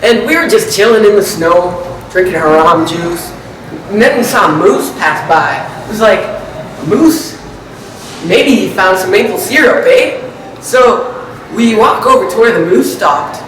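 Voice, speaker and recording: A man's voice: high voice, expressive, measured pace, fairly bright tone. A man playing a silly, earnest Canadian backwoodsman with a Canadian accent, in improvised comic banter full of questioning tags. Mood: storytelling. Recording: very echoey, distant, some background noise.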